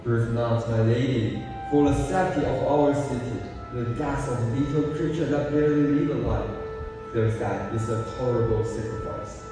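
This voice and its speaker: A man's voice: medium pitch, normal speed, lightly acted. Guy doing a stern cold royal husband, stage reading, far from mic in big hall, music under it. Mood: cold, resolute. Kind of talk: reader's theatre declamation. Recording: echoey hall, distant mic.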